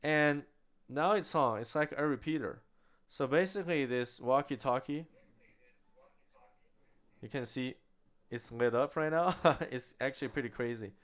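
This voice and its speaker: Man, casual product demo, smooth voice, rhetorical questions, chatty, slightly muffled lo-fi audio. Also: medium pitch, unhurried, pauses often, a bit bright. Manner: explains with rhetorical questions he answers himself; chatty, with small laughs and restarts. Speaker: product demonstrator. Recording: slightly dull lo-fi sound.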